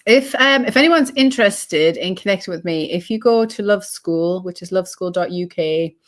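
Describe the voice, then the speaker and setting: British woman guest, a touch hoarse, lower voice, rambling and reflective. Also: moderate pace. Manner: reflective and rambling, many ums and restarts. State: slightly hoarse, losing her voice. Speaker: guest, holistic healing coach. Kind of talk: casual interview chat.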